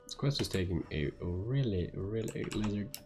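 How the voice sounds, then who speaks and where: A man's voice: lowish voice, expressive, moderate pace, noisy background. A man streaming a video game, giving laid-back casual commentary as he muses aloud.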